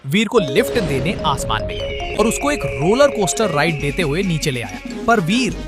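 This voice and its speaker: A theatrical storyteller narrating a children's cartoon in playful rhyme. The delivery is animated and mid-pitched, with music clearly behind. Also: moderate pace. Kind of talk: rhyming, playful scripted cartoon narration in Hindi.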